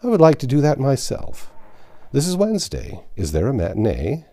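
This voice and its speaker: A man reading as a blunt, animated Yankee. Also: mid-range voice, moderate pace, voice pushed up. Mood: exasperated. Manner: blunt, plain-spoken, boastful. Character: confident, exasperated Yankee man stranded among medieval folk. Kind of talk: audiobook character dialogue.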